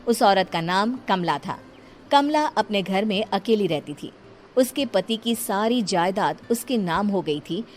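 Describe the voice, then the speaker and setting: A woman's voice: medium pitch, a little hiss or hum. Woman narrating a dramatic folk tale. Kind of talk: scripted storytelling narration.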